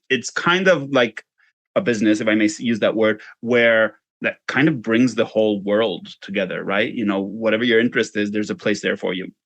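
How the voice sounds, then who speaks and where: A man's voice: lowish voice, dry recording. A man from Spain with a Spanish accent, answering interview questions in an informal, self-deprecating way. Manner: informal, self-deprecating, frequent "you know". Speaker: interview guest, fundraising consultant.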